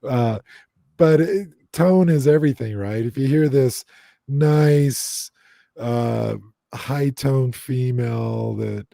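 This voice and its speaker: A man's voice: mid-range voice, takes it slowly, vocal fry, warm tone, dry recording, clean studio sound. A man hosting a casual paranormal livestream, talking to the viewers in the chat and leaning on fillers. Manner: talks to the chat audience, frequent fillers. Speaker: paranormal investigator host.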